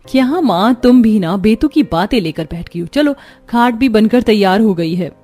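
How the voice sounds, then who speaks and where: A woman's voice: medium pitch, expressive, normal speed, mellow, soft background music, close to an everyday voice. A woman voicing a sweet young bride from a poor family in a cartoon. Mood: playful chiding.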